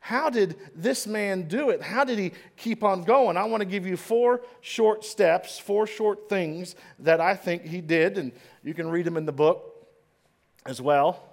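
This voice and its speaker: A man preaching a sermon in a church, dramatic and swinging between loud and hushed, with a slight stutter at times. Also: high voice, unhurried. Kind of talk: sermon storytelling. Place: church stage.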